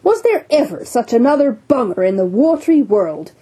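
A woman's voice: fairly high pitch, sing-song, moderate pace, dark tone, breathy edge, voice pushed up. A woman voicing a jovial, teasing one-armed English sea captain in a storybook reading. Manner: boisterous, teasing, hearty.

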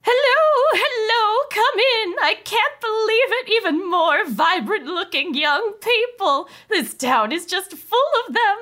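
Woman putting on a gushing, high-pitched, over-friendly hostess. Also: expressive, slow, few pauses, bright, forceful tone, full character voice. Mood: gushing, effusive. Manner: gushing, effusive. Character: beaming, over-welcoming, faintly sinister woman in her forties. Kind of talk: comedic script table read.

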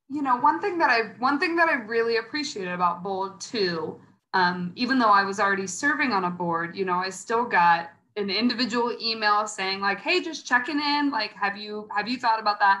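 A woman's voice: mid-range voice, normal speed, fairly bright tone, poor audio. Female interview guest, American, mid-range voice. Earnest and chatty, working out her answers as she talks. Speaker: interview guest, nonprofit coordinator and mentor.

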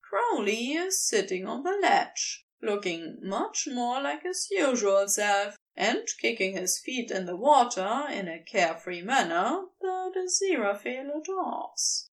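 A woman reading scripted fiction aloud as an expressive story narrator. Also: a little high, a little slow, few pauses, recorded from a distance. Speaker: podfic reader.

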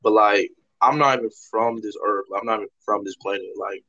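A man's voice: lowish voice, fairly even intonation, brisk, bright, forceful tone, no echo. Rambling man with dull lo-fi sound. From Toronto, Canada. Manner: rambling, heavy use of "like" fillers; earnest, explanatory. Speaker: podcast host. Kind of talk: casual conversational discussion. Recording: slightly dull lo-fi audio.

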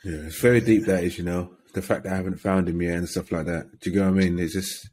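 Male co-host, husky, measured, tends to repeat himself. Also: deep voice, steady tone, a little mellow. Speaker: podcast co-host. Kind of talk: casual chat.

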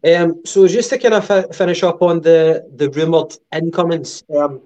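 A man co-hosting a podcast, going through football news in a measured, casual way with lots of ums and uhs. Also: on the high side, fairly even intonation, dark tone. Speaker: podcast co-host.

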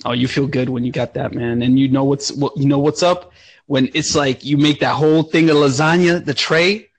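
A young adult man from Romania co-hosting a podcast, telling stories in an animated, profane, casual way. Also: medium pitch, normal speed. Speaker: podcast co-host. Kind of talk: casual chat.

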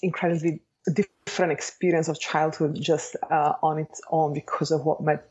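Low, mellow Italian-accented woman. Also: flat delivery, moderate pace, breathy edge, highs cut off. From Italy. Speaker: interview guest, architect and educator. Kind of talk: reflective interview talk about own life.

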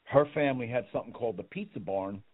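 A man in the middle of a casual interview, rambling through stories and doubling back on his own words. His voice sits at an ordinary pitch and comes through a narrow, phone-like line. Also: quick pace, breathy edge. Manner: rambling, repeats words, laughs easily. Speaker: guest.

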